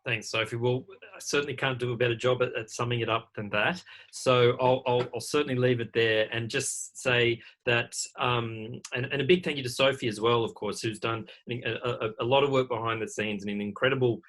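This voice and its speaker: Bright-voiced man hosting an online event. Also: mid-range voice, steady tone, fairly quick. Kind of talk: informal event hosting and sign-off.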